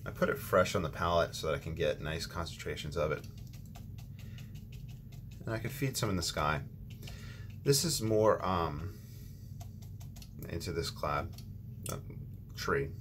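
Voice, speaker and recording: A man with a very low voice talks through a watercolor lesson at his painting table, loose and unhurried, pausing with ums and correcting himself as he goes. Steady room noise hums behind him. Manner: relaxed, thinking aloud with ums and restarts. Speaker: watercolor tutorial host. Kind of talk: casual painting instruction.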